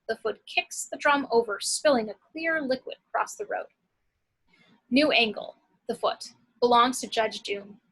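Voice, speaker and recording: A woman's voice: on the high side, fairly bright tone, poor audio. A woman narrating action descriptions from a script at an amateur table read.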